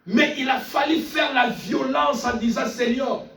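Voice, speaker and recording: High-pitched fervent male preacher, echoey. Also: fairly even intonation, measured pace, creaky, bright, forceful tone. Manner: emphatic, insistent, repeating phrases; calls on listeners for amens. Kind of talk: fervent sermon. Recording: echoey room, distant mic, faint music.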